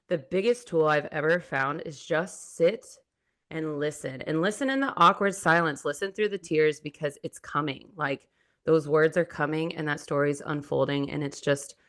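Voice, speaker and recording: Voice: mid-range voice, fairly bright tone. Earnest rambling podcast host. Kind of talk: casual interview chat.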